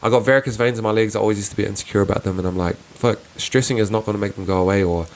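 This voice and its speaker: A young man hosting his own podcast, talking in a soft voice with earnest, reflective musings and frequent restarts. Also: lowish voice, steady tone, racing. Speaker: podcast host. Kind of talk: casual reflective solo podcast.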